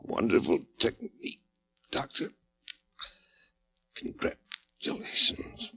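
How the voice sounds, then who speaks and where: A dying doctor in an old radio horror play, gasping weakly in slow, creaky fragments. The pitch lurches wildly, and the recording is noisy with hum. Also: male voice, wildly swooping pitch, pushed and bright, exaggerated voice. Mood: bitter, failing. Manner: halting fragments. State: gasping, weak. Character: mortally wounded doctor, gasping bitter warnings. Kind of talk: 1940s radio horror acting.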